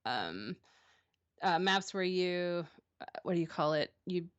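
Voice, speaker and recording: A woman in her thirties chats as a guest on a streaming interview. Her voice sits low for a woman, and she talks in a halting way, filling her gaps with ums and restarting her sentences. The sound is dry, with almost no room. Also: expressive, no room sound, muffled recording. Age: 30s. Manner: hesitant, many ums and restarts. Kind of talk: casual interview answers.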